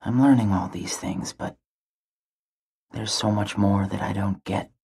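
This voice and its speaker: Breathy, withdrawn teenage boy. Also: lowish voice, steady tone, measured pace, a little mellow, heavily acted. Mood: pensive, subdued. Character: young teenage boy, withdrawn, naive and questioning. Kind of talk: introspective video game character monologue.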